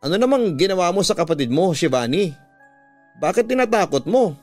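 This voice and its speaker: A man's voice: high-pitched, big pitch swings, a little fast, darkish tone, faint music behind, pitched above the performer's own voice. A Filipino man voicing an angry, scolding mother in a radio story, with accusing questions.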